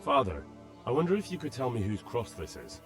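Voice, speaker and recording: An actor playing a young, pragmatic medieval investigator in a video game, plain-spoken and full of direct questions. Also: male voice, medium pitch, wildly swooping pitch, a little fast. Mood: polite, puzzled. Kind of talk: video game cutscene acting. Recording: clear music behind the voice.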